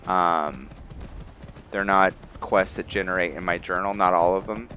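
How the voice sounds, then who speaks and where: Low-voiced, slow-paced man narrating gameplay. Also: fairly even intonation, pushed and bright, a little hiss or hum. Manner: halting, thinking aloud. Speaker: gamer narrating a roleplay playthrough. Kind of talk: casual game commentary.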